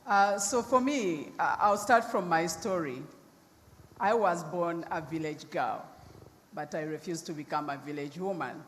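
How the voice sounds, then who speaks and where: A middle-aged woman with a deep voice, speaking loudly and forthrightly as a panelist telling her own story. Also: measured pace. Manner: loud, emphatic, forthright. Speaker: panelist, nonprofit founder. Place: large conference hall. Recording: reverberant hall, faint background noise.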